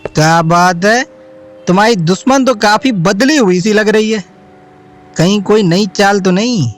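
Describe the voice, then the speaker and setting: An actor playing a joking, easygoing young friend in an audio drama. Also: male voice, high-pitched, a little slow. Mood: teasing. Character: young man Bittu, joking drinking buddy. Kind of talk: Hindi audio drama acting. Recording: soft music bed underneath.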